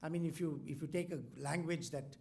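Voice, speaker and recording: A man's voice: on the high side, steady tone, brisk, gravelly, darkish tone. A man from India, a native Tamil speaker, introducing a commencement lecturer in a formal speech full of ums and restarts. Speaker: university host introducing a lecturer. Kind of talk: formal speech with many fillers.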